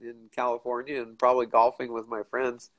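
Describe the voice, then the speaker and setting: Older male host on a video call, stuffy-nosed, rambling and halting. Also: medium pitch, completely flat delivery, fairly bright tone, no room sound, poor audio. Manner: rambling, halting, many restarts. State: stuffy nose from a cold. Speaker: show host. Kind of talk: casual video call chat. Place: indoor room at home.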